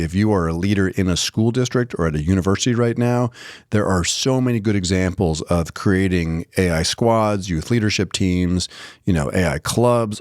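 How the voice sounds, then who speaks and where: A man's voice: sits low, darkish tone, no echo, studio quality. A man co-hosting a podcast, talking through stories in a conversational discussion style. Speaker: podcast co-host.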